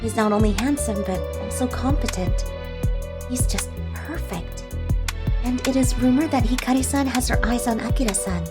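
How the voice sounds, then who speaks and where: A woman's voice: on the high side, normal speed, music in the background, lightly acted. A woman voicing a meek, hardworking young office part-timer who narrates her own story in a manga dub and stammers when flustered. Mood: confiding. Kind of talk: scripted first-person manga dub narration.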